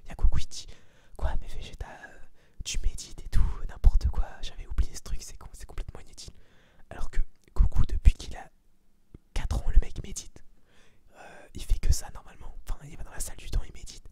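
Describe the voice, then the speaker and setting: An eighteen-year-old man whispering a casual, opinionated ASMR review in slangy, crude, informal language. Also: a little fast. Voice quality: breathy unvoiced whisper. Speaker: ASMR video creator giving a film review.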